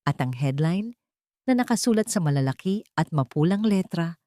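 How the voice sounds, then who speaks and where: Woman, lower-pitched voice, scripted dramatic storytelling, dry close recording. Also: animated, moderate pace, warm tone, dry recording. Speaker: story narrator.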